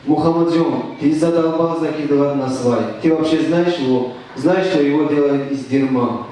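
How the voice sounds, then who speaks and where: A young man from Tajikistan, performing deadpan stand-up with hesitant restarts. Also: a little high, far from the mic. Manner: deadpan, halting with restarts and fillers. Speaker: stand-up comedian. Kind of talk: stand-up comedy set. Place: stage in a club. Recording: echoey room recorded from afar, faint noise.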